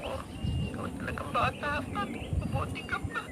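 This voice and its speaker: A woman's voice: high-pitched, expressive, moderate pace, gravelly. An actress playing an emotional middle-aged mother and aunt, scolding yet grateful, in a Filipino radio drama. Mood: grateful, fretful. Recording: very noisy radio drama broadcast.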